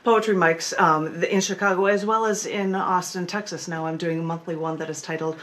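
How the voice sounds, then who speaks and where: A woman's voice: lowish voice, steady tone, a little fast. A woman poet and performance artist talking and reading her work in a remote interview over a video call. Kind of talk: casual interview talk with poem readings.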